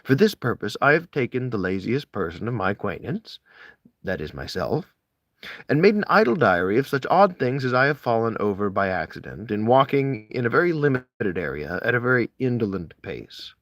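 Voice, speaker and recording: A man's voice: mid-range voice, sing-song, normal speed, a bit bright, no echo. A man reading a literary essay aloud with theatrical, emphatic phrasing. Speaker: amateur audiobook reader.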